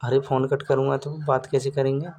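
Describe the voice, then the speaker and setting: A young man talks in a mellow voice on a phone call. He is casual and goading, as if needling a partner, over faint background noise. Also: mid-range voice, monotone, normal speed. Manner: teasing, goading. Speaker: caller chatting with partner.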